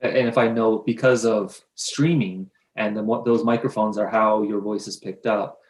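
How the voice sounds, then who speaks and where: A low-pitched man is on staff, presenting equipment options to a council. He explains at length with careful hedging and repeated restarts. His voice comes through a video conference with a roomy echo. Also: steady tone, normal speed. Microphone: video conference mic.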